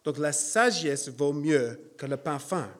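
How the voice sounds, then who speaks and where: A man delivers a sermon in a church. He speaks slowly in a slightly raised voice, with many hesitant fillers. He also jokes about himself. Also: fairly high pitch, fairly bright tone. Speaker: preacher.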